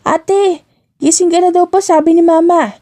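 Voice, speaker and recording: A woman's voice: extremely high pitch, fairly even intonation, normal speed, mellow, voice pushed up. Woman putting on a squealy cheerful Filipino little sister. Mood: coaxing. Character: cheerful excited younger sister.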